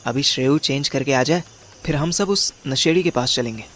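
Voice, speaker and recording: A man's voice: mid-range voice, normal speed, a bit bright, slight background noise, natural voice. A man narrating and playing a scheming, overweight amateur detective in an audio drama, with a wry, colloquial manner. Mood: conspiratorial. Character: overweight, scheming male amateur detective telling his story. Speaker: narrator and lead character.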